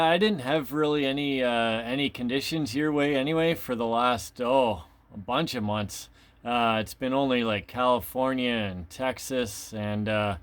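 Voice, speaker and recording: Man, mid-range voice, affable, hesitant, casual radio operator chat. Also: mid-range voice. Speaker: amateur radio operator, video maker.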